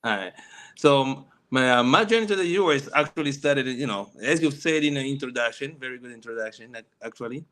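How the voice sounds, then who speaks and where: A middle-aged man from the Congo, a native Swahili speaker, telling his refugee story on a video call panel with halting speech and frequent restarts. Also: medium pitch, a bit bright. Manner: halting, many restarts and fillers.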